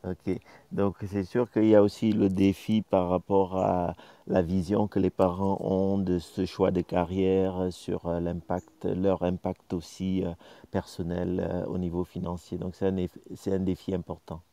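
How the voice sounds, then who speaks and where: Deep, mellow-voiced male co-host. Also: flat delivery, unhurried, no echo. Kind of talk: interview talk.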